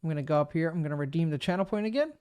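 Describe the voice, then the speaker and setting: A man with a smooth, clean voice sitting a little high for a man talks through a software setup step by step. He thinks aloud as he clicks, in an easygoing tutorial manner, and the sound is close and dry with no room around it. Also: racing, no echo. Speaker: streamer giving a software tutorial. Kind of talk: casual screen-recorded walkthrough. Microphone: desk microphone.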